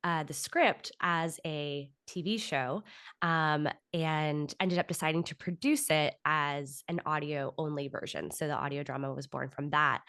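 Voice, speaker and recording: A woman with a notably low voice talks as a guest in an interview. She explains her work in a conversational way, with loose asides. The sound is very dry, without room echo. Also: steady tone, unhurried, a bit bright, clear, resonant tone. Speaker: podcast guest, writer and audio drama producer.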